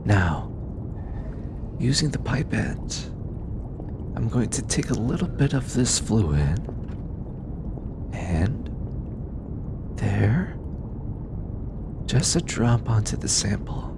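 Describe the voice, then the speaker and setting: Gentle alien scientist, acted by a man, expressive and unhurried. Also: lowish voice, creaky, background noise, exaggerated voice. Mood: calm, focused. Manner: soft-spoken, patient, hesitant when flustered. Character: gentle, studious, easily flustered alien male scientist. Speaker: voice actor. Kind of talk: intimate scripted audio roleplay, addressing the listener.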